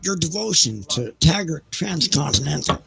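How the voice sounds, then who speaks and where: A man's voice: on the high side, expressive, moderate pace, gravelly, a bit bright, a little hiss or hum, pitched above the performer's own voice, heavily acted. A man playing a coolly amused, condescending railroad executive in an audio drama, with a mocking manner. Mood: amused, cold.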